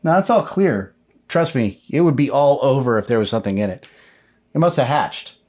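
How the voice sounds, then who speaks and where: A man's voice: mid-range voice, lively intonation, normal speed, a little mellow, voice pushed up, close to an everyday voice. A man voicing a nerdy little brother who loves bugs, precise and matter-of-fact, in a tabletop game. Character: nerdy, know-it-all little brother, bug enthusiast boy.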